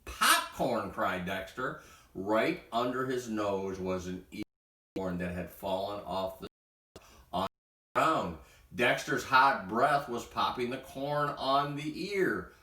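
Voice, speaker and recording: A man reading a children's bedtime story aloud in an expressive storytelling style. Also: lowish voice, takes it slowly. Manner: expressive, acts out sound words. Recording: roomy echo, distant from mic.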